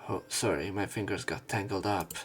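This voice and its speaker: A man's voice: low-pitched, steady tone, normal speed, soft background music. A man narrating a visual novel let's play, reading the story aloud with casual commentary.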